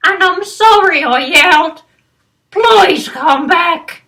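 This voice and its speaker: An older woman puts on a grumpy male otter for a children's storybook. The voice jumps up high and bright, with emphatic, drawn-out words. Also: expressive, recorded from a distance, exaggerated voice. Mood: pleading, sorry.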